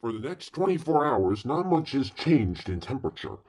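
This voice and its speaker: A man playing a formal 1930s radio news announcer in a radio play, reading bulletins in a husky voice. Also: medium pitch, very animated, moderate pace, darkish tone. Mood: measured, formal.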